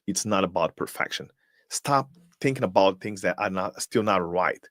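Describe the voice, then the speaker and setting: A man's voice: deep voice, moderate pace, fairly bright tone, no room sound, studio quality. A man whose first language is Portuguese gives a live-streamed motivational talk as host. He is emphatic, fires off rhetorical questions, and repeats words as he searches for them.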